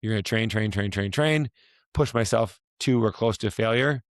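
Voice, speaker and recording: A man hosting a casual talk, his voice hoarse and raspy from a week of yelling, rambling and restarting as he asks questions. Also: on the low side, normal speed, dry recording, clean studio sound. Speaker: podcast host, fitness coach. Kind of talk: casual chat.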